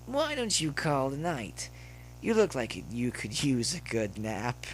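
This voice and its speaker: A man's voice: mid-range voice, wildly swooping pitch, unhurried, slight background noise. A man voicing a sarcastic, amused young male pony in a storybook reading. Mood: calling, concerned. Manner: sarcastic, teasing.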